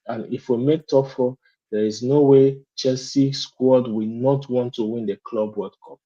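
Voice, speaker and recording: Muffled, dark-voiced man making his case. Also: medium pitch, steady tone. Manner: deliberate, often asks "you understand?". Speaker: guest fan. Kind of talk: opinionated call-in discussion.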